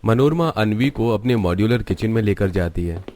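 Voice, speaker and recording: A man reads scripted narration for a cartoon story. His voice is fairly low, with a dark, mellow tone. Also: expressive, moderate pace.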